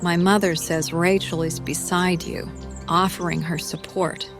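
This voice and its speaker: A woman playing a determined, proud young warrior in a dramatic audio drama, speaking in a measured, solemn way. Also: on the low side, level tone, over music.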